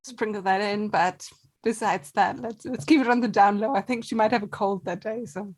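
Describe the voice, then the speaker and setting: A woman's voice: mid-range voice, fairly even intonation, normal speed, darkish tone. A woman chatting casually as an interview guest, in an understated, somewhat hesitant way. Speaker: voice actress, podcast guest. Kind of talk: casual chat.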